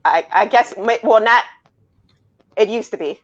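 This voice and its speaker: A woman's voice: medium pitch, a little slow, a crowd in the background. A woman on a casual discussion panel, arguing her picks in an insistent, emphatic way. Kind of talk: casual panel movie debate. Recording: dull lo-fi audio.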